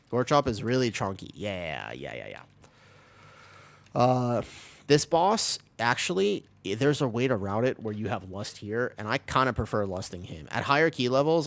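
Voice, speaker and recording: Raspy-voiced man streaming gameplay. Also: on the low side. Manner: chatty, explains tactics while playing, many fillers. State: recovering from being sick, raspy voice. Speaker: streamer playing a tank. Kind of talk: live gameplay commentary.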